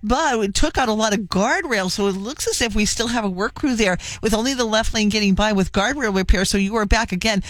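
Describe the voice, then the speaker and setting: A woman traffic reporter delivering a live radio traffic report in a brisk, conversational way. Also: medium pitch, no echo.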